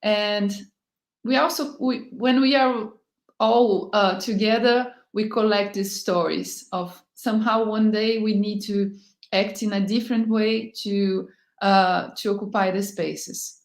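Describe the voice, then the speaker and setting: A woman from Brazil moderating a panel on a video call, measured as she leads into the themes. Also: mid-range voice, steady tone, reverberant. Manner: measured, introduces speakers.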